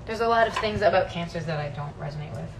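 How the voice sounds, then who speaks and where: A woman's voice: fairly low pitch, fast talker. A young woman chatting casually on a livestream in her home kitchen, reading fan questions aloud and answering them thoughtfully with many "um"s. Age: young adult. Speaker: singer hosting a livestream. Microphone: phone held close, front camera. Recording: distant phone audio with background noise.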